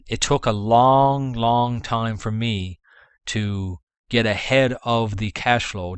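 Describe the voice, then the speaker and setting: A man's voice: fairly low pitch, fairly bright tone, clean tone, no echo. A man with a Canadian accent, giving rambling business advice in a casual vlog. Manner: rambling, with restarts and fillers. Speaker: dropshipping vlogger.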